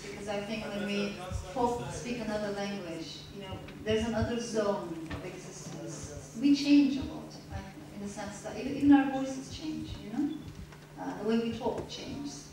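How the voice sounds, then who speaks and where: A woman reads aloud and then talks in a measured, reflective way, pausing now and then to restart a thought. She speaks at a middle pitch. She is caught from a distance in a very echoey lecture room with a little background noise. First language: Turkish. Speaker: author at a reading. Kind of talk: reading aloud then answering questions.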